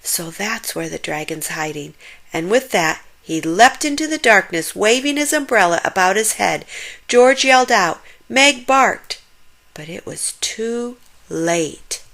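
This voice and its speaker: Unhurried, expressive woman reading a storybook. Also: a little high. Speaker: children's storybook narrator. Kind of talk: expressive read-aloud narration.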